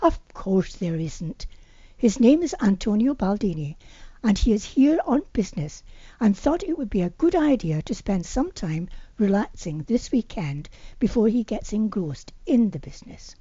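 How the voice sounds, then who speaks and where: A woman's voice: medium pitch, sing-song, moderate pace, mellow, breathy edge, dry recording, muffled recording. A woman reading the part of a hesitant but pushy female friend asking a favour in an amateur audiobook. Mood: coaxing. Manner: hesitant, coaxing. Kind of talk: amateur audiobook character dialogue.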